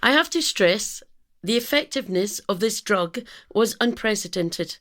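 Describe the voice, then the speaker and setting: An earnest female doctor played by an actress in a radio play. She sounds measured and clinical, with some hesitancy, in a voice of average pitch. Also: expressive, fairly bright tone, close to an everyday voice. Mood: uneasy, formal. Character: earnest, careful female doctor presenting a case study. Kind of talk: radio drama acting.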